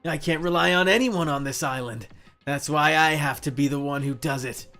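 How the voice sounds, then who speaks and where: A self-deprecating young zealot of hope, acted out by a streamer, speaks with theatrical, measured menace and eerie cheer. The visual novel voice sits at medium pitch over faint music. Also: bright, forceful tone. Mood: fervent. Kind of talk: reading visual novel dialogue aloud.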